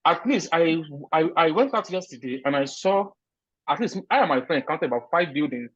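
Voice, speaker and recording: A man's voice: on the high side, expressive, normal speed, fairly bright tone, no echo. A man from Nigeria with a Nigerian accent, answering interview questions in an earnest, emphatic way with frequent restarts. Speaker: interview guest, recent immigrant. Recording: dull, lo-fi sound.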